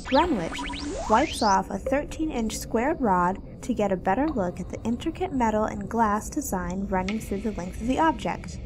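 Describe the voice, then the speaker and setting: A woman's voice: medium pitch, animated, normal speed, over music, natural voice. A woman reading scripted narration as the narrator of an audio drama.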